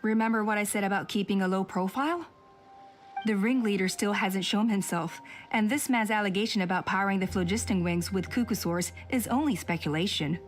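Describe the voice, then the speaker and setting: A woman voicing a calm, cautious woman elder of a tribe in a video game, speaking in a measured, cautioning way. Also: medium pitch, faint music behind, natural voice.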